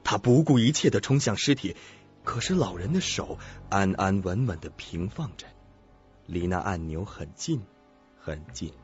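A man's voice: low voice, animated, unhurried. A man narrating a scripted suspense radio drama in a dramatic, ominous storytelling manner. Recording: dull lo-fi recording with music bed.

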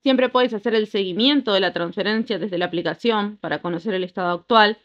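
A woman, reading a scripted app tutorial in an even, instructive way. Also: mid-range voice, brisk, dull audio. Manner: even, instructive step-by-step delivery. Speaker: tutorial narrator. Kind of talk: scripted voice-over narration.

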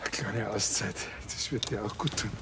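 A man's voice: fairly low pitch, moderate pace, heavy background noise, natural voice. An actor playing a self-pitying middle-aged village policeman with a Bavarian accent in a TV crime comedy. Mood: earnest.

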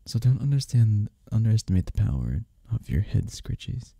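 A man playing a sleepy, affectionate, playful husband in bed, speaking softly and teasingly in an intimate ASMR roleplay, close to the mic. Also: on the low side, very animated, creaky, breathy edge, dry recording, full character voice. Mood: teasing, relaxed. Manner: soft, drowsy, teasing, unhurried. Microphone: close, dry microphone.

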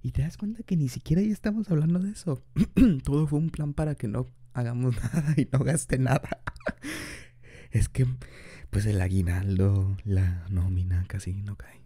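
A man's voice: mid-range voice, moderate pace, dark tone, husky, no room sound. A young man improvising an ASMR boyfriend roleplay, rambling chattily and laughing often into a close mic. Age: young adult.

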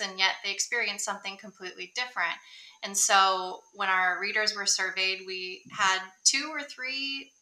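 Bright-voiced young woman in an echoey room. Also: medium pitch. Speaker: co-host. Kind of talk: webcast introduction. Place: small room indoors. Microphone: webcam on video call.

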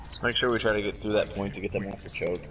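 A man's voice: on the low side, fairly even intonation, fast talker. A man in casual voice chat with his teammates during an online game. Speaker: online gamer talking to teammates. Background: video game music and match audio.

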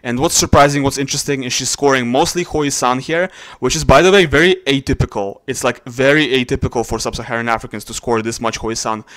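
A man's voice: mid-range voice, fairly even intonation, fast talker, fairly bright tone, no room sound. A man narrating a casual screen-recorded explainer, thinking aloud with frequent fillers. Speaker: genetics video host.

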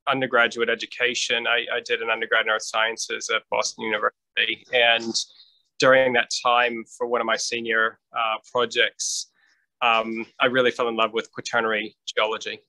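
A middle-aged man from New Hampshire, speaking as a guest scientist over a video call on a headset, measured and thoughtful with frequent ums and restarts. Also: medium pitch, completely flat delivery, sharp tone, dry recording, poor audio. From New Hampshire, United States. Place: lit home room, indoors.

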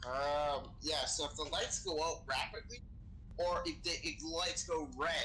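A man with a slightly high, bright voice talks in character during an online role-play game. He explains things casually and swears freely. There is faint noise on his voice-chat mic. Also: steady tone, moderate pace. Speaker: role-play gamer playing a crew member. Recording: noisy gaming voice chat.

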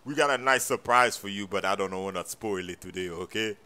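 A man voicing a scheming male gang member who taunts a captive in a low, sly voice. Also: fairly quick, pushed and bright, a little hiss or hum. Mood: taunting.

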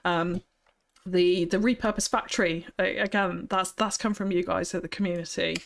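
A young woman with a high-pitched voice chatting on a live stream, bubbly and giggly, often thanking viewers. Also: fairly even intonation, moderate pace, gravelly. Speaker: streamer building a city. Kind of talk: casual live-stream chat.

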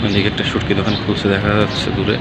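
A man's voice: fairly low pitch, completely flat delivery, very fast. A man with a Bangladeshi accent chatting casually as a vlogger, recorded outdoors on a handheld camera. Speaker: travel vlogger, customer. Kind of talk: casual chat and haggling. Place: outdoors at roadside stalls by a beach. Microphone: handheld camera, speaker behind it. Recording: loud outdoor street and traffic noise.

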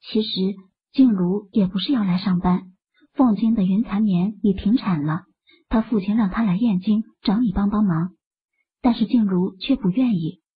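An actress playing a composed, soft-spoken young wife who explains things in an urban fantasy audiobook. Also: female voice, mid-range voice, normal speed, breathy edge. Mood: explaining. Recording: muffled, phone-like, lo-fi audio.

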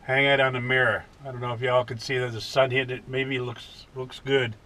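An American man in his fifties talking in a folksy, unhurried way in a casual vlog from a truck cab. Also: mid-range voice, level tone, pushed and bright. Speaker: vlogger. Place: inside a parked truck cab.

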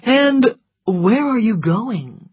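A woman's voice: high voice, sing-song, slow, dry recording, highs cut off, pitched above the performer's own voice, exaggerated voice. A woman voicing a headstrong, anxious young woman in a story, urgent, bossy and emotional. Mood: frightened, angry.

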